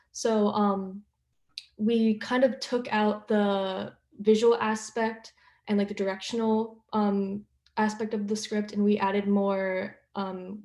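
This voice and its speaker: A young woman answering panel questions earnestly, pausing often to find her words. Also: mid-range voice, mellow, roomy sound. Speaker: playwright.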